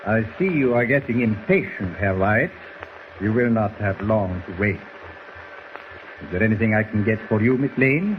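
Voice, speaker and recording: A man's voice: fairly low pitch, expressive, normal speed, natural voice. Guy doing a evil german doctor from a 40s radio show, super polite but threatening, hissy dull recording. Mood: coldly polite. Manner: coldly formal, threatening politeness. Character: menacing foreign doctor villain, coldly polite captor. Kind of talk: 1940s radio drama acting. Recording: muffled, noisy old 1940s radio recording.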